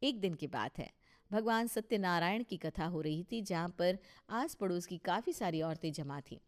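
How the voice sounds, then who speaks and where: A woman's voice: on the low side, animated, normal speed. A woman reading scripted narration for a moral story. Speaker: narrator and voice of characters.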